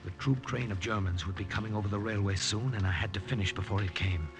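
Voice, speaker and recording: Actor as earnest secret agent over dramatic music. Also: male voice, fairly low pitch, fast, natural voice. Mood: tense narration. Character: tense, brusque male secret agent saboteur. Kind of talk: 1950s radio drama acting and narration. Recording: old radio recording, music behind.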